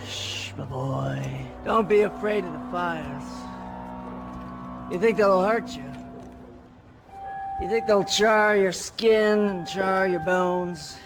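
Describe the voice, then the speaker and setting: An actor playing a deranged, sinister zealot who sermonizes about cleansing fire in a theatrical video game scene. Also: male voice, sits high, takes it slowly, creaky, pauses often, heavily acted. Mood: creepily soothing. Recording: music behind the voice.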